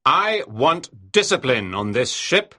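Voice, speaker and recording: Woman putting on a husky, barking sea captain. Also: very deep voice, very animated, slow pace, pushed and bright, voice pushed down, heavily acted. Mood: stern, commanding. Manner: barking, imperious. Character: stern, angry male sea captain, a harsh disciplinarian. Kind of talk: audiobook character dialogue.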